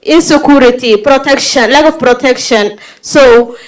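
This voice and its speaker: Brief: woman from Somalia, audience speaker, earnest plea, higher voice. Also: unhurried. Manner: pleading, listing points, hesitant fillers. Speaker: audience member. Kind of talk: conference floor appeal. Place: conference hall.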